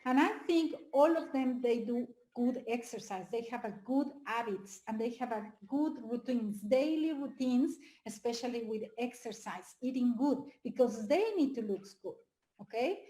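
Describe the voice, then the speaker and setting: A woman from Mexico with a Mexican Spanish accent, a life coach giving a lively live webinar talk to an audience, often asking them questions. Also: high voice, moderate pace, roomy sound, rough recording. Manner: energetic, asks the audience questions, nonnative phrasing.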